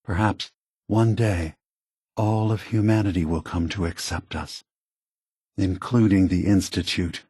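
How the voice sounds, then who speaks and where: An actor playing an aging prototype android leader in a video game, a gentle, philosophical idealist with a soft, husky voice and measured, earnest phrasing. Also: male voice, sits low, steady tone, no room sound, studio quality. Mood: reflective, hopeful. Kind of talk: acted video game dialogue.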